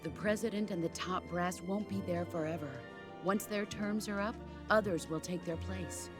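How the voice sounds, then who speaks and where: An actress playing a calm, commanding veteran female soldier in a video game, speaking in a measured, solemn, instructive way. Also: on the low side, lightly acted. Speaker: military mentor over radio. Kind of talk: dramatic video game voice acting. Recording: music behind.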